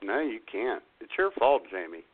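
A man's voice: fairly low pitch, very animated, normal speed. A man co-hosting a comedy podcast, tossing in short, casual remarks with a dry, sardonic manner. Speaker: co-host of a comedy radio podcast. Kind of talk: casual banter with short interjections. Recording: tinny phone-like band-limited sound.

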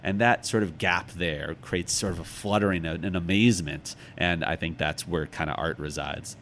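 A man's voice: low voice, steady tone, normal speed, fairly bright tone. A middle-aged American man talking casually as an interviewed author, reflective and thinking aloud. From United States, Chicago. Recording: clearly noisy background.

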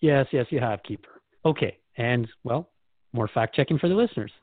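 A man's voice: medium pitch, expressive, normal speed, no room sound, narrow, tinny audio, lightly acted. A man playing a curious, deferential interviewer, speaking in a polite, slightly hesitant conversational way in a scripted podcast. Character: Jeff, curious, deferential male human interviewer.

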